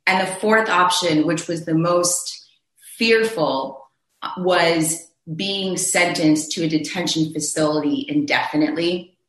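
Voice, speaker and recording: A man's voice: a little high, unhurried, a bit bright, reverberant, low-quality recording. A man speaking earnestly on a panel discussion, telling his own story, often restarting his phrases. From Zambia.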